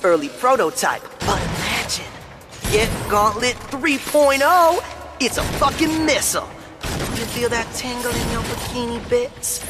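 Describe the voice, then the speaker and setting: An actor voicing a brash, vain pop-star villain in a video game, with smug, boastful sales patter. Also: male voice, very high-pitched, animated, unhurried. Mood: smug pitching. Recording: loud music and game noise behind.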